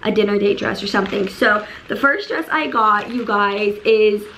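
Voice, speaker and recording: Hoarse woman in her thirties, chatty. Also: medium pitch, moderate pace, a bit bright, slight background noise, poor audio. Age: 30s. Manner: chatty, gushing, many fillers. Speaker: beauty and fashion YouTuber. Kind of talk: casual try-on haul chat. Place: bedroom indoors.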